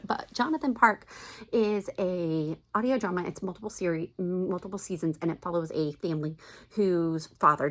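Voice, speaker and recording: Woman, American accent, middle-aged, slightly low voice, casual vlog chat, emphatic delivery. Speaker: video blogger recommending family audiobooks. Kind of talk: casual chat to camera, recommendations.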